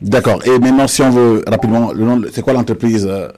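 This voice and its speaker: A man's voice: medium pitch, fast, mellow. Man hosting a studio panel show. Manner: wordy, ceremonious introductions. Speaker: host. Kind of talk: live panel show presentation.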